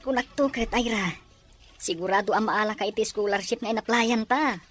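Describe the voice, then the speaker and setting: A woman's voice: mid-range voice, fairly quick, fairly bright tone, close to an everyday voice. A woman playing an encouraging young student friend in a drama. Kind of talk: drama acting.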